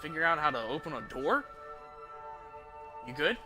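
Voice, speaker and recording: A man's voice: a little high, sing-song, moderate pace. A man giving casual live commentary as a video game streamer, rambling informally and repeating himself. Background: game music and sounds.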